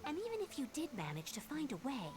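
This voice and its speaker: A woman playing an earnest young female refugee in a video game, telling things in a measured, sincere way. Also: sits high, wildly swooping pitch, noisy background. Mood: grave. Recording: game music behind the voice.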